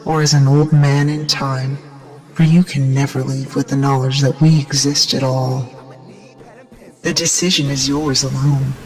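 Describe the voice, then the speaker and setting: A woman's voice: extremely low pitch, poor audio. A woman playing an imperious masked shrine guardian in a video game, solemn and zealous, speaking in grandiose incantations. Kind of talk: video game cutscene acting.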